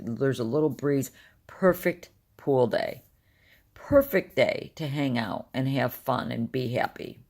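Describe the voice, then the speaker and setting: An older woman with a deep, gravelly, smoker-like morning voice, tired and short on sleep, talking candidly in a rambling personal video diary. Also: dark tone. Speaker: vlogger.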